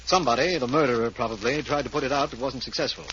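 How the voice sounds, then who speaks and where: An actor playing an earnest, businesslike, cautious district attorney in a 1940s radio mystery drama. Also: male voice, medium pitch, rapid-fire, a bit bright, lightly acted. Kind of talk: 1940s radio drama acting and scripted narration. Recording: noisy, muffled old radio recording.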